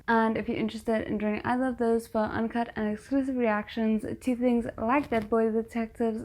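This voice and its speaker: A woman hosting a reaction video, giving casual, animated commentary and reacting aloud as she watches a series. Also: on the high side.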